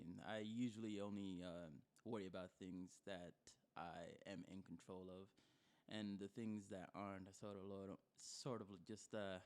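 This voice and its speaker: The good-natured guy in the guest chair of a casual podcast, a Kizigua speaker from Kenya, telling his story haltingly in a lowish voice. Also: smooth. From born in Kenya to Somali parents, lives in Idaho. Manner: cheerful, giggly, hesitant phrasing. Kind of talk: casual chat.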